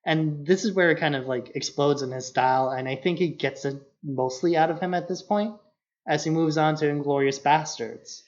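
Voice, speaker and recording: A man's voice: a little high, normal speed. Casual critical man, slightly lo-fi sound. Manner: analytical, critical, says "like" often. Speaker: podcast co-host. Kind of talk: casual film discussion. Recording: slightly dull lo-fi podcast audio.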